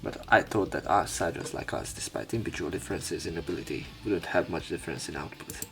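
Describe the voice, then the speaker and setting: A man's voice: sits low, fast, a bit bright, faint music behind. A man reading game narration aloud as a let's player, breaking into irreverent, casual commentary. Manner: irreverent, crude asides.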